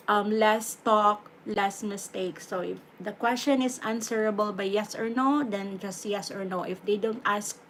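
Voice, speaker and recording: A woman with a Filipino accent hosting a casual livestream, chatty and relaxed, giving advice and slipping in Tagalog words. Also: medium pitch, a little hiss or hum, low-quality recording. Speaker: livestream host.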